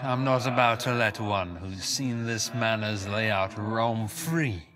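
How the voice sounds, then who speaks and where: An actor playing a haughty, scornful, scheming duke in a fantasy video game, speaking in a theatrical, measured, condescending way. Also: male voice, fairly low pitch, music in the background. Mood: condescending, amused. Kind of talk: dramatic video game character acting.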